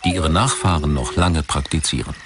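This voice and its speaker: A man narrating a scripted documentary in a measured, articulate manner. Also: extremely low pitch, over loud music.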